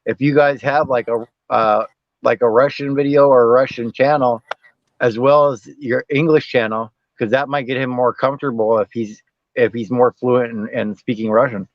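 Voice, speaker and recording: A man's voice: medium pitch, steady tone, normal speed, no echo. Easygoing man with muffled, dry sound. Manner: easygoing, rambling, encouraging. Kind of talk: casual interview chat.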